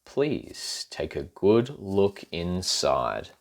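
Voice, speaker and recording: Male reader as grinning shark, storybook villain, deep, sly, coaxing, lively pitch swings. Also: extremely slow, heavily acted. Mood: sly menace. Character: grinning, menacing, falsely welcoming storybook shark.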